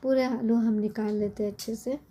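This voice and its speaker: A middle-aged woman with a hoarse, cold-worn voice, chatting casually as she explains a home recipe step by step in her kitchen. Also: a little high, steady tone, fairly quick, dark tone. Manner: chatty, explains step by step with frequent tag questions. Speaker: cooking channel host. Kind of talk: casual cooking tutorial.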